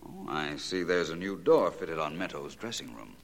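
An American actor playing a shrewd, self-assured gentleman detective in a 1940s radio mystery, suave, deliberate and theatrical. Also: deep voice, lively intonation, gravelly. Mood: confident, theatrical.

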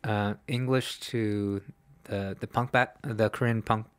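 A man's voice: on the low side, steady tone, slow pace, clear, resonant tone, no room sound. A man co-hosting a casual podcast, laid-back and self-mocking, laughing often. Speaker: podcast co-host. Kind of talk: casual chat.

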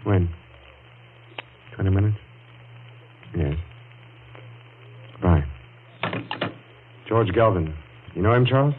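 A suave, deep-voiced matinee idol. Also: male voice, lively intonation, takes it slowly, long pauses. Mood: breezy. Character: suave, casual matinee-idol stage actor, breezily self-assured. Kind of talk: 1940s radio drama acting. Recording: tinny, slightly noisy old radio recording.

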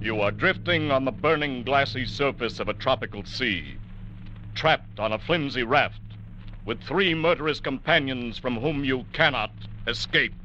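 Bright, forceful vintage radio announcer man. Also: mid-range voice, animated, normal speed. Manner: solemn, suspenseful, formal. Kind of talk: dramatic scripted 1940s radio announcing. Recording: dull old radio recording, faint hiss.

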